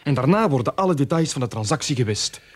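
An actor playing a nervous, hesitant bank embezzler in a radio drama. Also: male voice, mid-range voice, lively intonation, quick pace, lightly acted. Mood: uneasy explaining. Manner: hesitant, stammering under pressure.

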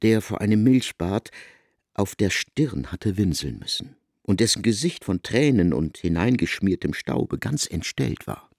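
An actor as a grave storyteller, narrating an ancient biblical tale in a measured, articulate way for a literary radio drama. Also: male voice, lowish voice, sing-song, creaky, darkish tone, no echo, clean studio sound, exaggerated voice.

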